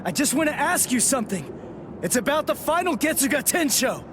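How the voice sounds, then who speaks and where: An actor playing an earnest, hot-headed teenage sword fighter in an anime game, speaking with intense emphasis. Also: male voice, extremely high pitch, very animated, brisk, noisy background. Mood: pleading, demanding. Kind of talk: English anime game voice acting.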